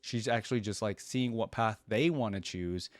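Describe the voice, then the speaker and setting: A man's voice: on the low side, normal speed, no room sound. A man hosting a reaction video, switching between informal commentary and reading text aloud. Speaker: reaction channel host.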